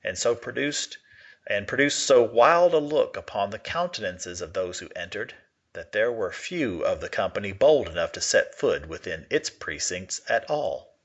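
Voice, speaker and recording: A man giving an expressive reading of a gothic short story, now and then stumbling and correcting himself. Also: lowish voice, normal speed, highs cut off. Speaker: reader of a classic short story. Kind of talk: dramatic reading of a gothic tale.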